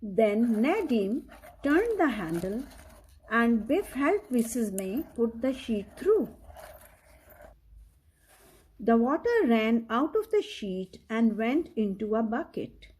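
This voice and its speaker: A woman reading a children's story aloud in a deliberate, instructive manner, talking directly to the listener. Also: a little high, sing-song, a little mellow, slight background noise, poor audio.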